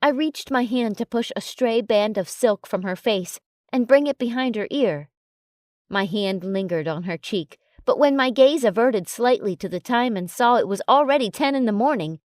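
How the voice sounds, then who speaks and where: A woman narrating an audiobook in a calm, even storytelling manner. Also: fairly high pitch, normal speed, no room sound, clean studio sound. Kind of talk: audiobook narration of a romance novel.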